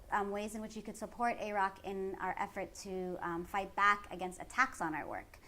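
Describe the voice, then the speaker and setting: A woman's voice: mid-range voice, fairly bright tone. A woman from the San Francisco Bay Area with a Californian American accent, giving articulate, earnest explanations as a community organizer in an interview. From San Francisco Bay Area, United States. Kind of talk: explanatory interview answers about organizing work. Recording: clearly noisy background ambience.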